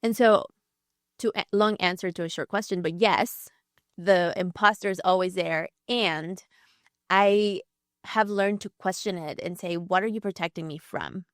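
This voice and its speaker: Podcast guest, woman in her thirties, candid and reflective, low-pitched, close dry mic. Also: measured pace, a bit bright, no room sound. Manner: candid, reflective, many fillers like "like". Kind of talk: conversational interview.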